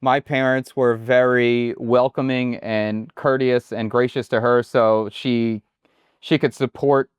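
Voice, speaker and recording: American guy talking about his life abroad, smooth clear voice, dry close sound, kinda halting. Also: medium pitch, no echo. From United States. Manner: relaxed, halting, repeats words. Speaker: chef and guest. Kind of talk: casual interview chat.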